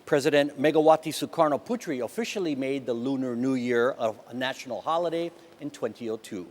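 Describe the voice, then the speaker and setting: A man working as a news anchor, asking interview questions and reading closing lines in a measured, scripted way. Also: mid-range voice.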